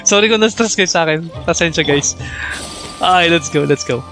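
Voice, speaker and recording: A man's voice: fairly high pitch, fairly quick, fairly bright tone. A man with a Filipino accent giving casual, chatty live game commentary and talking to viewers. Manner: chatty, reacts aloud and thanks viewers. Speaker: game livestreamer. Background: game music.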